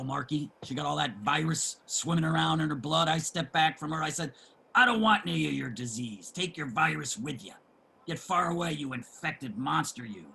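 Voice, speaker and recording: An actor playing a brash, boastful, crude homeless ex-sailor with a rough voice in a play reading. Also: male voice, a little high, bright, forceful tone, full character voice. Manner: combative, crude street talker. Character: brash, boastful, gossiping homeless ex-merchant-marine man. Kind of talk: theatrical table reading of a stage play.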